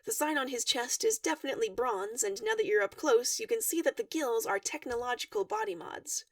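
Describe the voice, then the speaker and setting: A woman reading a fan-written story aloud in an expressive narrating style. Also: sits high, fairly quick, gravelly, no room sound, studio quality, recorded from a distance. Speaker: fan fiction reader.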